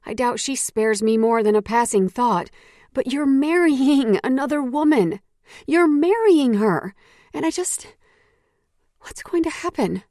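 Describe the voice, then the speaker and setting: A woman's voice: high-pitched, expressive, a little slow, a little mellow, no room sound, studio quality. A woman voicing an anxious, pleading young woman. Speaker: audiobook voice actor. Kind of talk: audiobook dialogue acting.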